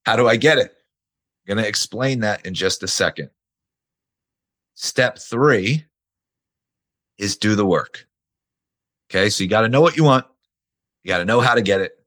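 Hoarse man hosting a podcast. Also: lowish voice, expressive, moderate pace, pauses often, fairly bright tone. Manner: casual, emphatic, swears now and then. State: hoarse, nearly losing his voice. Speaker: podcast host. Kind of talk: solo podcast talk.

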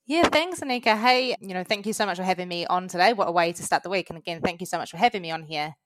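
A woman's voice: lowish voice, fast, a bit bright, no echo. A woman in her late twenties with a New Zealand accent, a guest answering interview questions in a chatty, friendly way. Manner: chatty, friendly, with many "you know" fillers. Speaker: guest, head of customer success.

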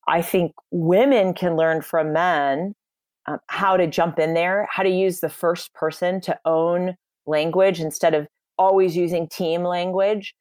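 A woman speaking with a fairly low pitch, confident and emphatic as she coaches on communication. Her voice is close and dry, with no room sound behind it. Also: fairly even intonation, a little slow, a little mellow, dry recording. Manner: confident, emphatic, advice-giving. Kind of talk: podcast interview answers.

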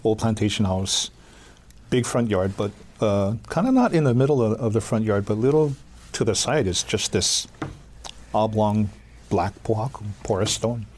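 A man, a podcast co-host calmly explaining local customs in casual chat, with many fillers. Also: on the low side, normal speed, a little hiss or hum. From Hawaii.

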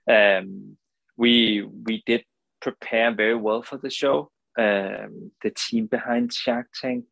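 Danish guy in an interview, low gravelly voice, kinda husky, restarts a lot and keeps saying right. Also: fairly bright tone, dry recording, rough recording. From Denmark. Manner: earnest, many restarts and "right?" tags. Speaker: founder of a swim goggle company. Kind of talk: podcast interview.

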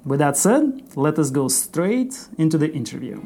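A man hosting an interview podcast in a formal, scripted style, measured and courteous. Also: on the high side, big pitch swings, warm tone, faint music behind.

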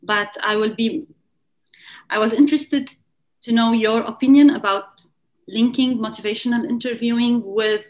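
A woman who speaks English as a second language, asking a question in a webinar in a halting, hesitant way over a video call. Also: on the high side, steady tone, poor audio. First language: not English. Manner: hesitant, halting, many pauses and fillers.

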